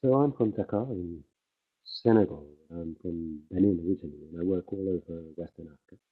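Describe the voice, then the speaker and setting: A deep, husky male voice interpreting a conference talk live, pausing and restarting as he tracks the speaker. The sound is thin and phone-like, as if it came through a narrow livestream feed from an interpreter booth. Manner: halting, many fillers and restarts while keeping pace with the speaker. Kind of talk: simultaneous interpretation of a conference speech. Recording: tinny phone-like livestream audio.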